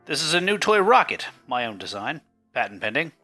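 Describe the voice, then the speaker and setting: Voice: medium pitch, very animated, fairly quick, pushed and bright, soft background music. A performer playing a proud, eccentric elf toy inventor in an audio play, precise and boastful.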